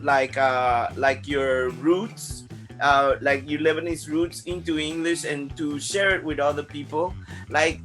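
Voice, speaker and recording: A young adult man hosting a podcast interview, talking loosely with lots of "like" and fillers. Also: fairly high pitch. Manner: loose, filler-heavy, warm-hearted chatter. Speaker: podcast host. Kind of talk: casual interview chat.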